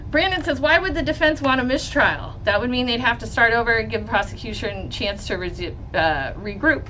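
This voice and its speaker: A woman lawyer with a loud voice, hosting a live stream about a trial and reading chat questions. She talks bluntly, swears freely and laughs often. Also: a little high, animated, brisk, slight background noise, low-quality recording. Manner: blunt and profane, with frequent laughs. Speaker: lawyer and legal commentator.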